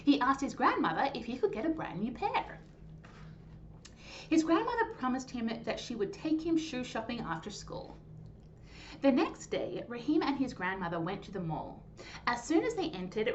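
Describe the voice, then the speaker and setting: A woman hosting a storytime, reading a children's story aloud in an animated, clearly phrased way. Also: fairly high pitch, moderate pace. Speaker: storytime host and reader. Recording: dull lo-fi sound, faint noise.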